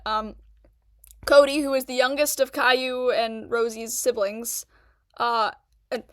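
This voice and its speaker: A woman's voice: on the high side, fairly bright tone, dry recording, clean studio sound. Indignant woman streamer who stutters. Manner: indignant, repeats herself. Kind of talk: reaction commentary.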